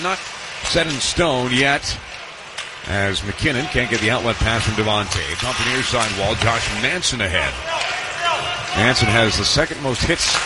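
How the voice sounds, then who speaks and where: North American hockey commentator, man, over loud noise. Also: medium pitch. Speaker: radio play-by-play ice hockey commentator. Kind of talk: live sports play-by-play commentary. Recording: live sports radio broadcast, loud arena noise. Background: loud arena ambience.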